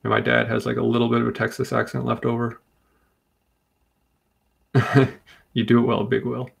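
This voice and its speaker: A man from Rhode Island hosting a live comic book stream, chatting calmly and steadily while reading comments. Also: mid-range voice, gravelly, breathy edge. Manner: calm, steady, unhurried. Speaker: livestream host.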